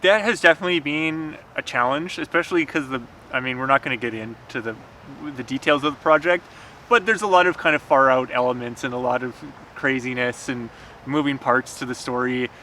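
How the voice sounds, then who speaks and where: A man speaks as a writer being interviewed, casual and halting, often restarting his phrases. His voice is bright and sits in a middle register. Clear urban outdoor noise surrounds him. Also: medium pitch. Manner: halting, with repeated false starts. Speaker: screenwriter guest. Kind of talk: casual chat.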